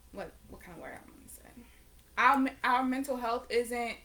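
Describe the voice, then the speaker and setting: A woman in her late teens chats loosely to the camera and keeps circling back to fix her own words. Her voice is bright and sits a little high. She sounds relaxed and conversational throughout. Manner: rambling, self-correcting, conversational. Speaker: vlogger and painter. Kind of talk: casual chat to camera.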